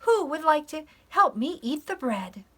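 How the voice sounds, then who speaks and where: An eager little farmyard hen from a children's storybook, acted out by a woman. The voice is high and squawky, with clucks and bright exclamations to the other animals. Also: very animated, unhurried, exaggerated voice. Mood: eager, calling out. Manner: exclaiming, sighing.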